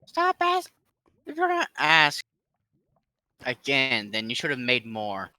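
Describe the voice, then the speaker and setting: A man's voice: mid-range voice, wildly swooping pitch, a little slow, sharp tone, dry recording. A man chiming in with casual banter, heard on a distant, noisy mic with clicks.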